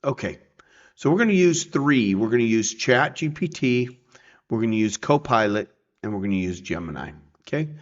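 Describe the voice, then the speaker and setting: Male tutorial presenter, mid-pitched, informal and explanatory, slightly muffled lo-fi voice-over. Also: lively intonation, moderate pace. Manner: informal, explanatory, checks in with "okay" and "right". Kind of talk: casual screen-share software tutorial.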